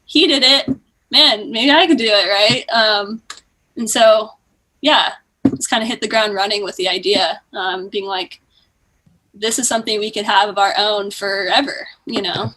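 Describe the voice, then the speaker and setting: A woman's voice: medium pitch, moderate pace, pushed and bright, poor audio. A woman guest chatting informally on a podcast, slangy, with "like" sprinkled all through. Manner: informal, slangy, heavy use of "like". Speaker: podcast guest, music company co-founder. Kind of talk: casual chat.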